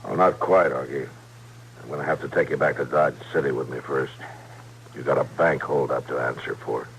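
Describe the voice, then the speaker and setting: An actor playing a calm, weary American frontier marshal in an old radio Western, speaking in a measured, terse, quietly persuasive way. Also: male voice, rumbling low, vocal fry. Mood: grave, gentle. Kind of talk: old-time Western radio drama acting. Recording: noisy vintage radio recording.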